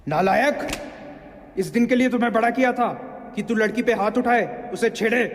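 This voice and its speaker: A man's voice: on the high side, measured pace, darkish tone, slight background noise, lightly acted. Man acting stern Indian father figure in a reverberant hall. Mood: angry, ashamed. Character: stern, scolding older Indian father, also a strict boss. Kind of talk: amateur Hindi social-awareness drama acting. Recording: roomy hall with long reverb.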